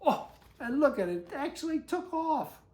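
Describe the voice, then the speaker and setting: A woman's voice: high-pitched, very animated, moderate pace, dark tone. A woman reading a children's picture book aloud, breaking off to chat and put questions to her young listeners. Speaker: storybook reader.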